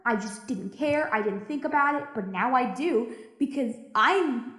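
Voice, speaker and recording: Audio drama: actress as isolated female deep-sea station survivor, high register, anxious and jaded, halting confessional monologue, echoey room. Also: animated, a bit bright. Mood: agitated, defensive. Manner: rambling, halting, stammering, self-interrupting.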